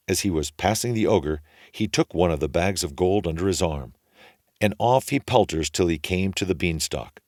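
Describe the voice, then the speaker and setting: A man's voice: sits low, a little mellow, no echo, clean studio sound. A man narrating a children's fairy tale as a storyteller, in a folksy, colloquial manner.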